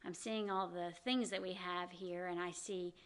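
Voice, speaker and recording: Bright-voiced woman with a Southern accent. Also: lowish voice, fairly even intonation, normal speed, smooth. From American South. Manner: many ums and you-knows, earnest. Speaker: executive director of a downtown organization. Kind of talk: interview guest.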